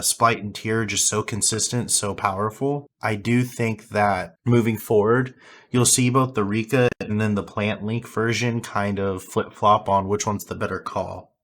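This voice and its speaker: A hoarse young man, having lost his voice, casually reviewing his card game deck with rambling fillers and restarts. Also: fairly low pitch, fairly bright tone.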